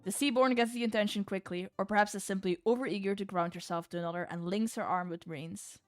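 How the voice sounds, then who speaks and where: A woman's voice: mid-range voice, steady tone, quick pace, dry recording. A woman streamer reading a visual novel aloud like a storybook, dropping in casual, playful, sweary asides. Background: music.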